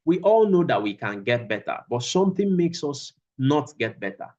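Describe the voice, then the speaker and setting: A man's voice: on the high side, sing-song, normal speed, a little mellow, dull audio. A man teaching a class over a video call, repeating his phrases for emphasis. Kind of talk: online class lecture.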